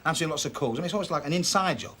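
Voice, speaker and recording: A man's voice: a little high, animated, rapid-fire, fairly bright tone, slight background noise. A man playing a dogged, impassioned British police detective in a TV drama, arguing bluntly and insistently. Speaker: detective constable. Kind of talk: naturalistic TV police drama acting.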